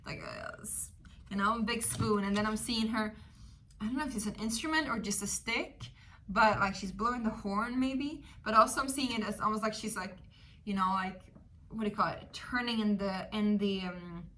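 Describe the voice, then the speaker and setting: A woman's voice: medium pitch, normal speed, fairly bright tone, slight background noise. A woman with a Swedish accent, Swedish her first language, giving a casual, chatty tarot reading with many fillers. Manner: chatty, rambling, many fillers, laughs often. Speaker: tarot reader.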